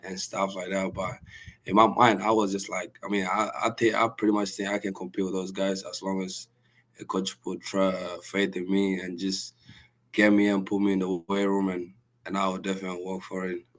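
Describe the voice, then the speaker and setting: A young man from Sudan speaks in a very low, level voice. He answers softly in a casual interview and restarts often, searching for the words. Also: level tone. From South Sudan. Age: young adult. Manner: soft-spoken, halting, with many restarts and fillers. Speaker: college basketball player, guest.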